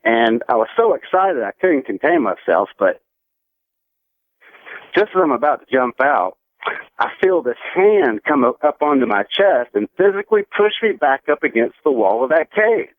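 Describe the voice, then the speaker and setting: A man's voice: medium pitch, very animated, normal speed, no echo. An American man in his forties calling a radio show, earnestly recounting a personal story in a conversational way. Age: 40s. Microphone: telephone. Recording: tinny narrow phone line on radio.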